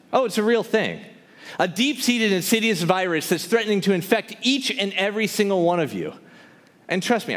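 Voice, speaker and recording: A man's voice: sits high, fairly bright tone. A man performing theatrical spoken word poetry to a live audience, with an impassioned, emphatic, rhythmic rhyming delivery. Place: large hall, live before an audience. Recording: reverberant hall, live stage performance.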